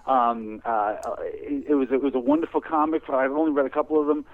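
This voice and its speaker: A man's voice: medium pitch, a little fast, dry recording. A man calling in as a guest on a radio chat, talking hesitantly with many fillers. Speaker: guest on the phone. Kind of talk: casual radio interview. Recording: dry tinny phone line.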